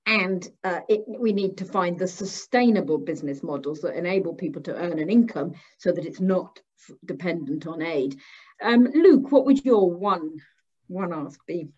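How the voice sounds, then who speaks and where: A woman's voice: on the low side, lively intonation, moderate pace, warm tone, slightly rough. A woman moderating a panel discussion over a video call. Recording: slightly dull video-call audio.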